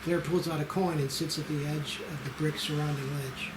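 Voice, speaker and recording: A man's voice: a little high, fairly even intonation, moderate pace. A man narrating scene directions aloud at an amateur screenplay table read. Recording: roomy echo with clear noise.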